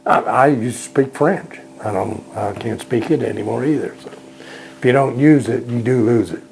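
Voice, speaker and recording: A man's voice: medium pitch, moderate pace, gravelly, darkish tone, slight background noise, rough recording. An older man, a veteran, recalling his service in a casual, rambling way, repeating words and using plain folksy speech. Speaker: Vietnam veteran interviewee. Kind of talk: casual spoken recollection.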